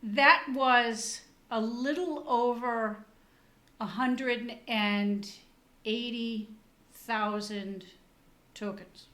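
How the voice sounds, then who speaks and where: A woman's voice: fairly high pitch, fairly even intonation, extremely slow, fairly bright tone, reverberant. A woman co-hosting a casual tech podcast, a Danish and English speaker, explaining her tests in a chatty, trailing way. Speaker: podcast co-host.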